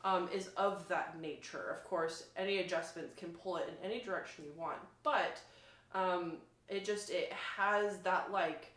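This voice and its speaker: A woman chatting casually as she demonstrates an instrument, enthusiastic and informal, with a halting, searching delivery. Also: lowish voice, steady tone, a bit bright. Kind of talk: casual product demo chat. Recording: roomy, echoing sound.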